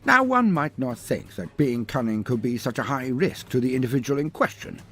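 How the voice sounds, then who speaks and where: Guy doing grand wordy comic story narration, slightly husky, lively pitch swings, music behind. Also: normal speed. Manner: theatrical, wordy, mock-grandiose. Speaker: narrator of a lore story video. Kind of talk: scripted humorous storytelling narration.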